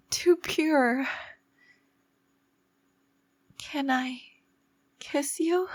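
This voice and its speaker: A woman playing an obsessive, possessive yandere girlfriend, menacing yet fragile and devoted, in a dramatic, halting ASMR roleplay style. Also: high-pitched, dry recording. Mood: tender, adoring.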